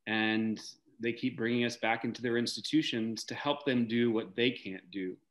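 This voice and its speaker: A man in his forties answering reflectively as an interview guest on a video call, articulate and measured, pausing to search for words. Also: medium pitch, fairly even intonation. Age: 40s. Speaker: interview guest, theater director. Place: indoor room, video call.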